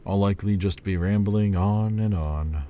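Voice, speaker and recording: A man voicing the male Lord of Demons in an audio roleplay, teasing and playful, turning halting and hesitant. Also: sits low, warm tone, a little hiss or hum, close to an everyday voice. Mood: evasive. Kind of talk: listener-directed audio roleplay.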